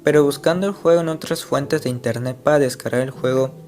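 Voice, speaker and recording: A man's voice: mid-range voice, a little fast, a little mellow. A man narrating an internet mystery video in a scripted, explanatory way, asking the viewer rhetorical questions. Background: faint guitar music.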